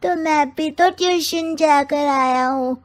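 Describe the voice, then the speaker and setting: A woman's voice: high-pitched, slow pace, voice pushed up. A woman voicing an earnest, indignant little boy in a cartoon moral story, plaintive and insistent. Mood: stubborn, whiny.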